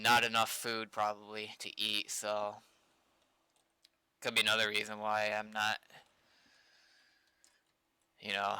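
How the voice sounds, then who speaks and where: A young man with a very bright, crisp voice chats casually as a streamer. His delivery rambles and tends to trail off into mumbles. He sounds close and dry on the mic, recorded in a small room. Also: on the low side, no room sound. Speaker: streamer and game developer. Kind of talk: casual livestream chat.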